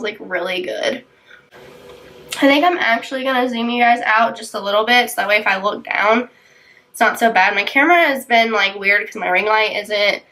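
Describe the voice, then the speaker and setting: A woman's voice: on the high side, sharp tone. A young woman vlogger with a congested, sick-sounding voice, chatting casually with lots of ums and likes while doing her makeup. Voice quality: tight, dry throat. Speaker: beauty vlogger. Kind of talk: casual get-ready-with-me chat.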